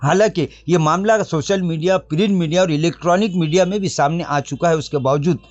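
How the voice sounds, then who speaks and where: A man's voice: on the high side, brisk, no echo, highs cut off. A man presenting a scripted news report in a formal register, addressing the viewers directly.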